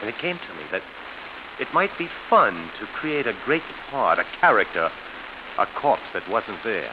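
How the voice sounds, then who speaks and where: An actor playing an embittered, falsely genial schemer with quiet menace, savoring his words in 1940s radio suspense style. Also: on the low side, sing-song, moderate pace. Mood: slyly confiding. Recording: muffled, hissy vintage radio recording.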